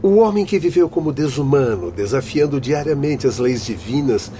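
A man's voice: mid-range voice, animated, normal speed, mellow, a little hiss or hum, natural voice. A man acting in a radio drama as a stern, learned spirit guide who lectures in formal, emphatic oratory.

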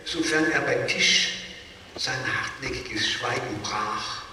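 A grave male reciter in a radio play, his voice ringing and piercing in a long reverberant hall. He sets out each phrase slowly and solemnly. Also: medium pitch, sharp tone. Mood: grave, deliberate. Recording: long echoing hall, distant, faint noise.

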